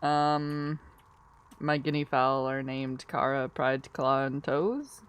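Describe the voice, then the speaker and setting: A woman's voice: a bass voice, slow pace, clean tone. A woman streamer just woken from a nap, chatting drowsily with her viewers in a sleepy, giggly, rambling way. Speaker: live streamer. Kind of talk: casual live-stream chat, answering chat.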